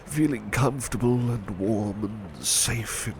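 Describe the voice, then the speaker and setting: A man playing a devoted, humble butler in an ASMR roleplay, speaking softly and tenderly with halting, repeated words. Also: on the low side, background noise. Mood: drowsy, tender. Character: devoted, gentle, humble male butler sweetheart. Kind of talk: ASMR roleplay, personal attention.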